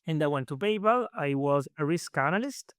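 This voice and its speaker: A man's voice: a little high, animated, moderate pace, fairly bright tone, dry recording, clean studio sound. A man in his thirties from Italy with an Italian accent and Italian as his first language, answering interview questions casually with many fillers and restarts. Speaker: guest.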